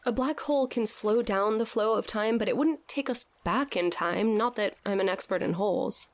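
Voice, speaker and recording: A woman's voice: medium pitch, moderate pace, no room sound, natural voice. Dry-miked, wisecracking sceptical young woman, played by an actress. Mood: wry, joking. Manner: incredulous, colloquial, joking. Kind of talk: scripted audio drama acting.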